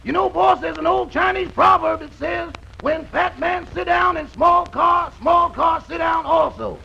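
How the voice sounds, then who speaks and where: Very gravelly, high-pitched wisecracking chauffeur. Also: male voice, unhurried, sharp tone, a faint crowd, heavily acted. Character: wisecracking male chauffeur, cheeky to his boss. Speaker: comic sidekick actor. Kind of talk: 1930s radio comedy acting. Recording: noisy, muffled 1930s radio recording.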